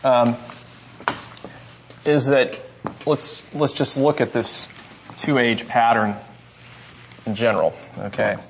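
A man's voice: medium pitch, slow pace, long pauses, lo-fi sound, slight background noise. A man teaching a seminary class, explaining step by step and often checking in with his listeners. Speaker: seminary professor. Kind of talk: classroom lecture on scripture.

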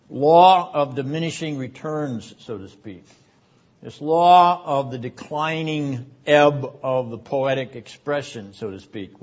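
A man giving a theology lecture as a seminary academic, speaking in a deliberate, emphatic manner with rhetorical repetition. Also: medium pitch. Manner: frequent throat-clearing. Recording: dull, muffled lo-fi lecture recording.

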